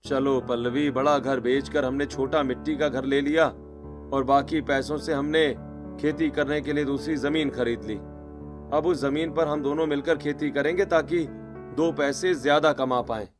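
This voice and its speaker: A man's voice: fairly high pitch, steady tone, moderate pace, over music, lightly acted. A man voicing a weary, burdened village farmer in a dramatized Hindi story. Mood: resigned.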